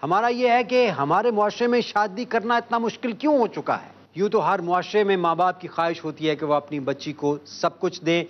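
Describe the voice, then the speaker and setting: A man hosting a satirical TV show, smooth and teasing as he sets up jokes. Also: high-pitched. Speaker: show host. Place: TV studio. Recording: slightly dull TV broadcast sound, faint hiss.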